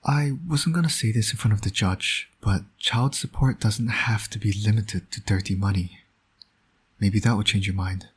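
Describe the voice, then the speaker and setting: A low, husky male voice for a roleplay audio drama , a man acting it out. He is a smug villain who flirts and needles with dry sarcasm, yet shows a fatherly soft side. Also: normal speed. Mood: coaxing, wry. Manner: smug, teasing, sardonic. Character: teasing, flirtatious male supervillain, doting secret father.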